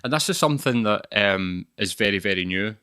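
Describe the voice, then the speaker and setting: A man's voice: fairly low pitch, animated, a little fast, bright, forceful tone, clean tone, dry recording, clean studio sound. A man hosting a podcast interview, asking questions in a hesitant, casual way with many "um"s. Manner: hesitant, frequent "um" and "sort of". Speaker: podcast host.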